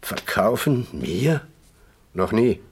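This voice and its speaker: A man's voice: lowish voice, very animated, takes it slowly, a little mellow, husky, heavily acted. An actor playing a plain-spoken, homely and slightly wary male country innkeeper in a radio crime drama. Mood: wary, puzzled.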